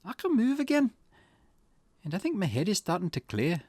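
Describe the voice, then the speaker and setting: A man's voice: sits high, huge pitch swings, normal speed, darkish tone, husky, no echo, pitched above the performer's own voice, heavily acted. A man voicing a confused, dramatic Scottish woman who has lost her memory, in a video game. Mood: puzzled, searching. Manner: bewildered, questioning, dramatic. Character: confused amnesiac woman waking in pain, visual novel protagonist.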